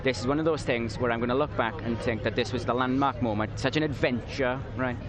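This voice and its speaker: A teenage boy from Wales with a Welsh accent, talking earnestly and at length in a live street interview outdoors. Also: medium pitch. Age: teens. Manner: earnest, rambling, quick-talking. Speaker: interview guest. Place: outdoors at a downtown riverfront. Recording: outdoor street with a loud crowd behind.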